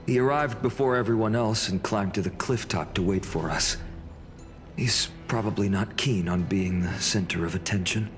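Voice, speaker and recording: A man's voice: fairly low pitch, normal speed, big-room sound, soft background music, natural voice. A man voicing a composed, courteous young warrior leader with a teasing streak in a video game. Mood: easygoing, teasing.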